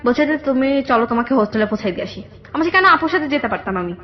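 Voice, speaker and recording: A young woman with a Bangladeshi accent telling her personal story in a radio interview, halting and repeating herself. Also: sits high, animated. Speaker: guest telling own life story. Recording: dull lo-fi radio audio, background music.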